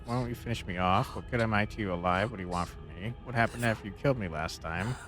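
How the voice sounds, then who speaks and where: A man's voice: lowish voice, fast, fairly bright tone. A man giving casual let's play commentary as a game streamer, with a wry, joking manner. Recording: faint game music behind. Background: video game music and dialogue.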